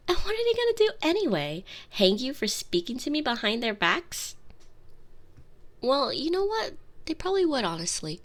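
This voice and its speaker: Haughty but lonely young princess, female voice actor, high and very animated, theatrical close-mic ASMR roleplay with whispered asides. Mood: bitter. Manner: theatrical, intimate, slipping into breathy whispers. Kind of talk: ASMR roleplay, scripted monologue. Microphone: close binaural microphone.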